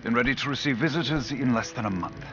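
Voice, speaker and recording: A man's voice: mid-range voice, expressive, fast, close to an everyday voice. An actor playing a smug, polished businessman with formal sales phrasing in a video game. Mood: smug, selling. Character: smug, polished corporate businessman in charge of an expedition. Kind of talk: video game cutscene acting. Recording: dull game audio, music behind.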